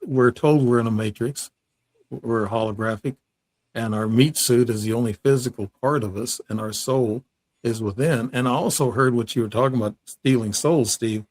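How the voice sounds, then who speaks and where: A man hosting an interview show, chatting casually and putting questions to his guest in a tentative, halting way. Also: mid-range voice, darkish tone.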